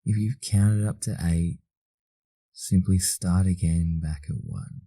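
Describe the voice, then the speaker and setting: Australian man, meditation instructor. Deep, very soft dark tone, soothing delivery with long pauses. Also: steady tone, no echo. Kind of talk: guided meditation narration.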